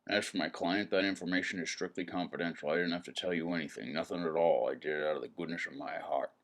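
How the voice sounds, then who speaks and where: A man's voice: sits low, fairly even intonation, quick pace, creaky. An actor playing a world-weary, wisecracking male private eye in hardboiled noir narration and dialogue, sardonic and brooding. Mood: defiant, wisecracking.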